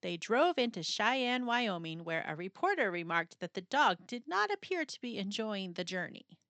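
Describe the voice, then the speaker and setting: A woman narrating a children's picture book aloud in a dramatic, expressive storytelling manner with suspenseful pauses. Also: mid-range voice, no echo.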